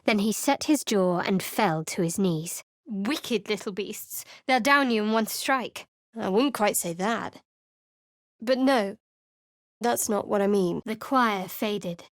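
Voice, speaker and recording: A woman playing a guarded, wry, secretive young cleric adventurer in a fantasy video game, with a cool, measured, sardonic delivery. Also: medium pitch, no room sound, clean studio sound, natural voice.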